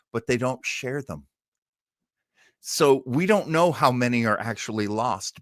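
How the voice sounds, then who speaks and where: A man hosting a livestream, chatting casually to his audience as an enthusiastic, animated fan. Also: mid-range voice, dry recording, clean studio sound. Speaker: livestream host. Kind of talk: casual chat to live audience.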